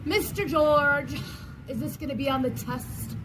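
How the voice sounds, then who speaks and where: A woman's voice: sits high, fairly even intonation, a little slow, over loud music. A woman performing as a dramatic, declaiming heroine in a comic stage play. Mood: pestering, argumentative. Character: dream woman playing a swooning Juliet and a pestering student. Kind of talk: theatrical one-act stage comedy acting. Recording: noisy live stage recording.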